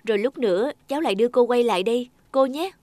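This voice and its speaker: A woman's voice: on the high side, fast, darkish tone, dry recording, lightly acted. A woman playing a helpful young office worker in a cartoon, speaking politely and attentively with care. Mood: caring. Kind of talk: cartoon dialogue acting.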